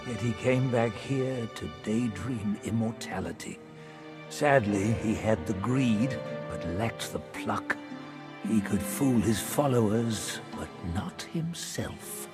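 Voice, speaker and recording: A man playing a wry, worldly storyteller in a video game, with measured, theatrical, archaic phrasing. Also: on the low side. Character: wry, worldly male storyteller reflecting on fallen souls. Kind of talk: scripted dramatic game narration.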